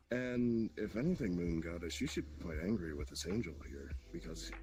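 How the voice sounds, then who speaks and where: A man playing an awakened demon king in a voice-chat roleplay, calm and measured with a menacing edge. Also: on the low side, a little hiss or hum, close to an everyday voice, poor audio. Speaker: roleplay player.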